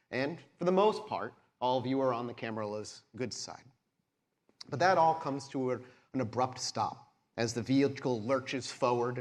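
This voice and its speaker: A man running a live tabletop game as game master, narrating scenes in measured, descriptive detail. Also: medium pitch. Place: live convention show on stage.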